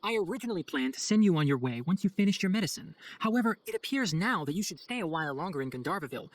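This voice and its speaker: A man's voice: high voice, very animated, very fast. An actor playing a clever, exacting young male chief forest ranger in a video game, articulate and instructive with dry sarcasm. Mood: decisive. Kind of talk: video game cutscene acting. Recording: faint music behind the voice.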